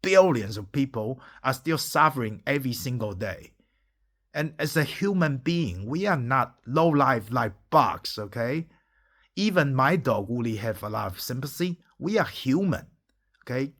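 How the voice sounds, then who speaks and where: A man's voice: medium pitch, a bit bright. Forties man, Taiwanese and Mandarin native, from Taiwan; emphatic vlog monologue, keeps checking in with "okay?", swears in places. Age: 40s. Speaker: entrepreneur vlogger. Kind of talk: impassioned monologue to camera.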